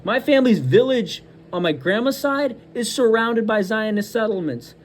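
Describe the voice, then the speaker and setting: A man's voice: high-pitched, animated, normal speed, a little hiss or hum. A man giving angry, combative political commentary, hurling insults and hammering points through repetition. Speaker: host of a political commentary show.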